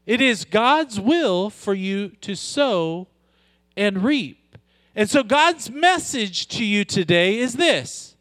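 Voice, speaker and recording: High-voiced, unhurried male preacher. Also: expressive, no room sound. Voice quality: loud voice. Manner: conversational preaching, joking asides, slows down to stress scripture. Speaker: pastor. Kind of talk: sermon.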